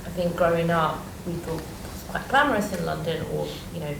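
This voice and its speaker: A woman from Bangladesh with a gravelly, creaky voice puts a tentative audience question, restarting as she goes. She sounds far from the microphone in a noisy room. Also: sits low, brisk. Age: adult. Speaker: audience member asking a question. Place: indoor public exhibition discussion. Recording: echoey, noisy, distant from mic.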